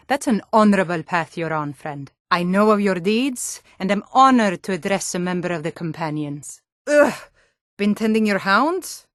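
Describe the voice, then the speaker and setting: A close, dry recording carries a woman acting a hardy northern townswoman from a fantasy game. Her medium-pitched voice is earnest and weighty, with the bearing of a town guard delivering short remarks to a passerby. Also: dry recording, lightly acted. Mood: disgusted. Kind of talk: video game character voice lines.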